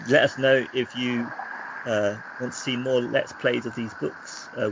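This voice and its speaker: Low-pitched, creaky-voiced man chatting casually. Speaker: podcast co-host. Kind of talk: casual chat about games. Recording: dull lo-fi sound with faint noise.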